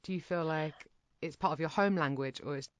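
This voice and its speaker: A woman's voice: low-pitched, fairly quick, a little hiss or hum. A woman interviewing a participant in a casual chat, thoughtful, working through ideas at length.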